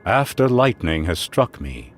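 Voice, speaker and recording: A man's voice: deep voice, big pitch swings, measured pace. A man voicing a pompous, eccentric asylum inmate who fancies himself a mystic, in an adventure game. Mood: calmly menacing. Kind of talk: adventure game character acting. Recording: loud music under the voice.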